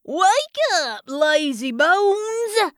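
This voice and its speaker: Woman voicing an excitable, drawling would-be cowboy. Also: sits high, takes it slowly, no room sound, clean studio sound, voice pushed up, exaggerated voice. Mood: excited, shouting. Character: excitable cowboy-obsessed male birthday boy, boisterous and cheerful. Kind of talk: children's audiobook character voice.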